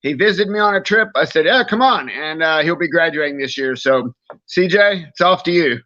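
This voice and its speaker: Bright, higher-voiced male coach. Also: animated, normal speed, muffled recording. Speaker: coach, guest. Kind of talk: casual introductory talk.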